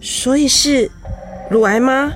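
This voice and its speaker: High-voiced young wife. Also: female voice, takes it slowly, soft background music. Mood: shaken, composed. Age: young adult. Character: young married woman patient, composed but anxious. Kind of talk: radio drama acting.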